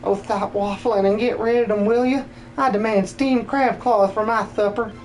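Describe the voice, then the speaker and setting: Man putting on a high, haughty, demanding villager. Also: steady tone, moderate pace, faint music behind, natural voice. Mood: imperious. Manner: demanding, impatient.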